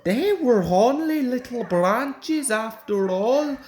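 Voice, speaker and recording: A reader's mock-innocent, teasing steam engine. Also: female voice, on the high side, expressive, slow pace, a little mellow, roomy sound, pitched above the performer's own voice. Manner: feigned innocence.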